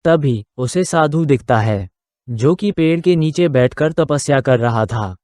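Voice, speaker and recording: A man's voice: medium pitch, lively intonation, a little slow, a little mellow, no echo. A man narrating a scripted moral story for a children's cartoon.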